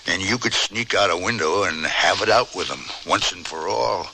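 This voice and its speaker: An actor playing a wounded, wry and kindly 61-year-old frontier uncle, with a rural Western American accent in a radio drama. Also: male voice, low-pitched, moderate pace, bright, forceful tone, full character voice. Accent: rural American West. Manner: folksy, chuckling, homespun phrasing. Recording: noisy old radio broadcast recording.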